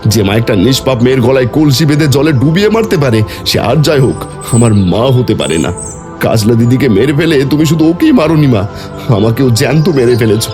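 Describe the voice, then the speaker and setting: A man's voice: medium pitch, animated, quick pace, lightly acted. A man voicing a heartbroken young man in a horror cartoon, speaking with vehement emotion. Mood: hurt, accusing. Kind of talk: Bengali horror cartoon dialogue. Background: music.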